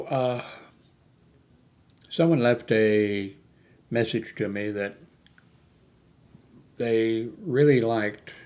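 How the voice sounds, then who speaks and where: Halting man with low, even-toned voice. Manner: rambling, halting, often losing his thread. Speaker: amateur radio hobbyist hosting a video channel. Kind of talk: casual unscripted vlog talk.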